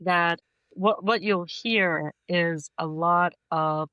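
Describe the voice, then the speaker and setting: A woman's voice: on the low side, slow pace, pushed and bright, no echo, highs cut off. An American woman talking as a guest poet in a conversational interview, explaining her ideas thoughtfully and with emphasis.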